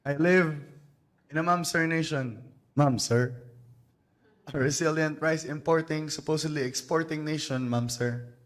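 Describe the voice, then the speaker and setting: A man from the Philippines with a Filipino accent, performing spoken word poetry live in a small cafe, with slow, deliberate phrasing and pauses. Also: a little high.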